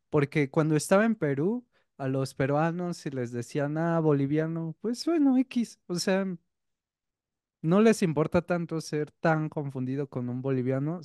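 A man's voice: on the high side, a little mellow, no echo, studio quality. A man co-hosting a casual podcast chat. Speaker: podcast co-host.

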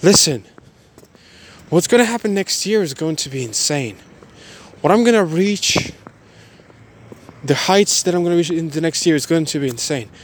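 Higher-voiced young man over background noise. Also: animated. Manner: repetitive, halting, emphatic. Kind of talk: motivational personal monologue.

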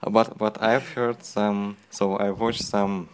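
A native Russian man speaks accented English in a low voice. His delivery is halting as he searches for words, asking and answering in a casual interview conversation. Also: little pitch movement, a little hiss or hum. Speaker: interviewer and language learner.

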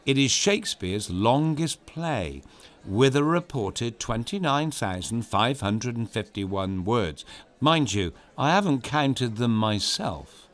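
A man's voice: mid-range voice, animated, takes it slowly. A man hosting a children's story show, reading a script in a playful, theatrical and explanatory way. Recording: clear music behind voice.

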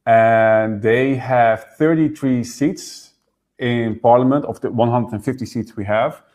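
A man raised in the Netherlands, speaking as an interview guest and explaining politics quickly, often repeating words as he goes. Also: mid-range voice, darkish tone. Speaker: political party member, guest. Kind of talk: interview conversation.

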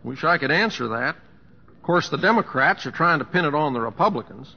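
An actor playing a folksy, wry, kindly American humorist with a homespun drawl, in an old-time radio drama. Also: male voice, a little high, animated, fairly quick, creaky, slightly rough, full character voice. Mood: joking. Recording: muffled old radio recording.

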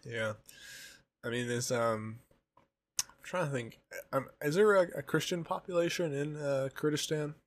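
A man's voice: a little high, measured pace, no room sound. A man co-hosting a casual podcast, rambling and trailing off mid-thought before restarting. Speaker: podcast co-host.

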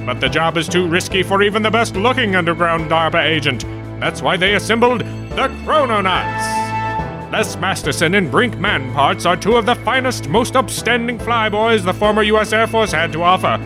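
An actor playing a pompous, dramatic announcer of a 1940s-style radio serial, with bombastic, emphatic delivery. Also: male voice, sits high, animated, normal speed. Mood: grave, dramatic. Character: grandiose bombastic male announcer of a retro serial. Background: music.

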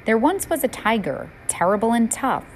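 A woman teacher reading verse aloud in an expressive storytelling recitation. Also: on the high side, moderate pace, slight background noise. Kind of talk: expressive poem recitation for listeners.

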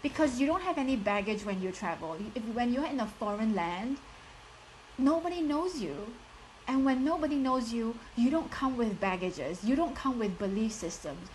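A woman's voice: on the high side, animated, moderate pace, a little mellow, a little hiss or hum, rough recording. A woman in her thirties with a strong Singaporean accent, a life coach giving a live motivational talk and speaking straight to her viewers, full of "like" and "you know". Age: 30s. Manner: direct and encouraging, addresses the audience, many "like" and "you know" fillers.

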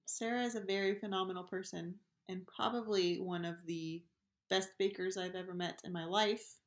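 A woman's voice: medium pitch, steady tone, moderate pace. Woman podcast host, encouraging interviewer, roomy echo. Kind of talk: casual chat. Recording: echoey room.

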